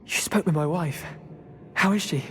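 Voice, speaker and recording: A man playing a weary, dignified imprisoned wizard in a video game, speaking in a deep, husky voice, calm and measured. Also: close to an everyday voice. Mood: weary, grateful. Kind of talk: video game voice acting, scripted dialogue. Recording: long echoing stone-chamber reverb.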